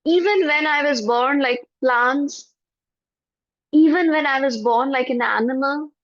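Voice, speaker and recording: A woman leading a guided forgiveness meditation in a soft, prayerful voice with slow repetitions. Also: high voice, dry recording. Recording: dull lo-fi, dry.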